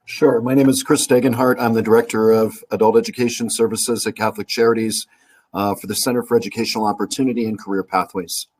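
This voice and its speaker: A man's voice: on the low side, flat delivery, quick pace, darkish tone, breathy edge. A man giving a brief, formal self-introduction as a panelist on a video call. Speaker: panelist, adult education director.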